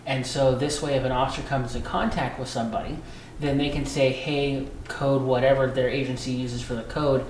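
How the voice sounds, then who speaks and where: An American man being interviewed as a police dispatcher, explaining in a conversational, halting way with repeated phrases. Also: medium pitch, level tone. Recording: echoey room with faint background noise.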